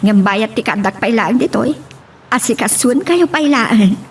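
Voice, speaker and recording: A woman's voice: a little high, lively intonation, unhurried. A woman playing a caring, hardworking mother in an amateur Ilocano family drama. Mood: earnest. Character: caring hardworking mother seeking work abroad. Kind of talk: Ilocano radio-style family drama acting. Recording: distant mic, background noise.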